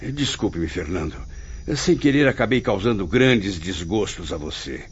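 A man's voice: low voice, animated, moderate pace, breathy edge, full character voice. A man playing the melancholy, proud spirit of a dead writer in a radio soap, with theatrical, literary lamenting. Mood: dejected, wounded. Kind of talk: dramatic radio soap acting. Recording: muffled radio drama with faint music.

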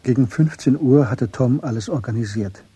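Dark, husky male narrator. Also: medium pitch, moderate pace. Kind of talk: scripted literary narration for radio drama.

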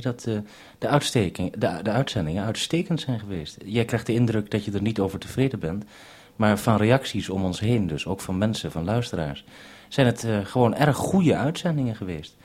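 Male radio maker, lowish husky voice, halting but reassuring delivery in two-way radio exchanges. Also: lively intonation, darkish tone. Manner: hesitant, reassuring. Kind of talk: two-way radio conversation for broadcast. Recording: 1971 radio broadcast recording.

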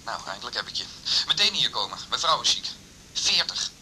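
An actor playing a curt, impatient husband on the telephone in a Dutch radio drama, brusque and demanding. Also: male voice, medium pitch, sing-song, a little fast, bright, forceful tone, slight background noise, rough recording. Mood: impatient, urgent. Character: curt, impatient husband phoning a doctor about sick wife.

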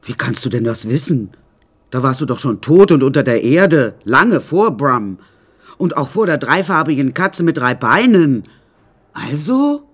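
A woman's voice: extremely low pitch, very animated, measured pace, husky, heavily acted. A woman playing an eccentric painter who tells her troubled life in a wry, rambling, matter-of-fact way, as radio drama narration. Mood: startled, dismissive.

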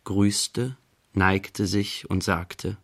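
A man playing a wry, measured storyteller, narrating a radio play in rhythmic, deliberate phrases. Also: sits low, steady tone, full character voice. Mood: measured, reflective. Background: quiet guitar music.

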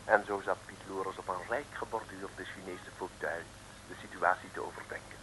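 A man's voice: low voice, steady tone, a little fast, lightly acted. A man narrating an old radio serial as a playful, theatrical storyteller. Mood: wry storytelling. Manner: theatrical, singsong lists. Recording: old radio recording, light noise.

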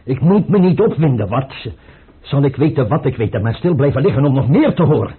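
Husky, paranoid tsar, wildly swinging voice. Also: male voice, medium pitch, big pitch swings, fairly quick. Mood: frantic, despairing. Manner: agitated, repetitive, imperious. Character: paranoid, tormented Russian tsar fearing murder. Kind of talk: theatrical radio play acting. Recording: muffled, narrowband old radio play recording.

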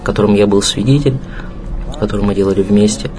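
A man's voice: on the low side, quick pace, over music. A man giving a reflective spoken tribute, choosing his words phrase by phrase. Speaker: interviewee, colleague giving a tribute.

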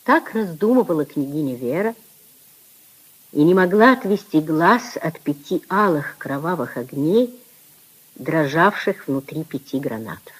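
A woman narrating a literary radio drama in a 1950s style, composed and expressive, with a husky voice. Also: low voice, a little slow, heavily acted, poor audio.

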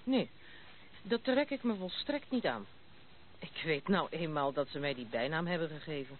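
A woman's voice: low voice, expressive. An actress playing a blunt, proud, self-assured young noblewoman in a radio play. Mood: proud, frank. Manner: brisk, self-assured, outspoken. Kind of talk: Dutch radio play acting. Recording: muffled narrow-band old radio recording, noisy.

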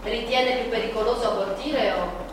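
An actress playing an anguished, defiant woman painter in a theatrical monologue, dramatic and shifting between hushed murmurs and cries. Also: on the high side, moderate pace, lightly acted. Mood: anxious, earnest. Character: Frida Kahlo, anguished, defiant, passionate woman painter in pain. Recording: echoey, distant, noisy stage recording.

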